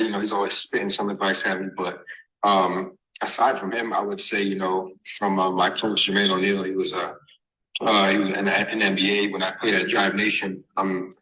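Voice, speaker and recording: A young man from Massachusetts, raised in Texas, answering interview questions as a basketball recruit, halting with many fillers and restarts. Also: fairly low pitch, flat delivery, bright, forceful tone, poor audio. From born in Massachusetts, raised in Texas. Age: young adult.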